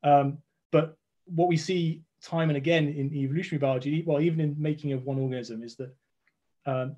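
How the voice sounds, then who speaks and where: A man in his forties explains science patiently over a video call from a room at home. His voice is mellow and sits at a middle pitch. He often restarts words as he works out what to say. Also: lo-fi sound. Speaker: guest scientist. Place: home room with red walls.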